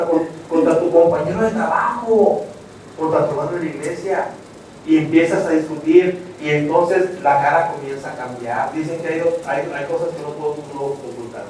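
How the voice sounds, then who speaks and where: A man's voice: fairly high pitch, a little mellow, slight background noise. A man preaching an evangelistic sermon, telling stories dramatically and acting out voices. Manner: dramatic storytelling, acts out voices, addresses "hermanos". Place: church. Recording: echoey church hall, mic at a distance.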